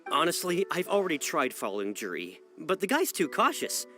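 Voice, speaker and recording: A man's voice: a little high, wildly swooping pitch, a little fast, bright, forceful tone, heavily acted. A man playing an earnest, anxious young subordinate in a video game, deferential and easily flustered. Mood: frank. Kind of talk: video game character acting. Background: game music.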